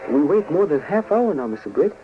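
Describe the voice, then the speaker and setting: A man's voice: a little high, big pitch swings, brisk, loud music behind the voice. A man acting a loyal sidekick who speaks in clipped broken English, in a 1940s radio drama. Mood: alert, questioning. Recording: noisy dull 1940s radio recording.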